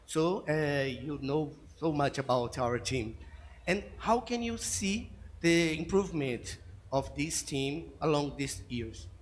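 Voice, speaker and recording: A man's voice: fairly high pitch, animated, slow, slight background noise. Hesitant Portuguese-native male reporter. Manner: hesitant, apologetic English. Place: press conference room.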